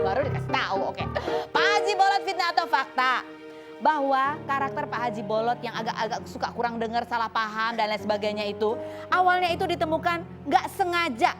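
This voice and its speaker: A woman's voice: a little high, expressive, fairly quick, over music. A woman with an Indonesian accent hosting a celebrity talk show, questioning in a playful, theatrical way.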